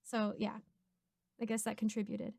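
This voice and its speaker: Young woman streamer, high clear voice, chatty n expressive, dry close sound. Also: normal speed, dry recording. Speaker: streamer and narrator hosting a Q&A. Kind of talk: casual chat, storytelling.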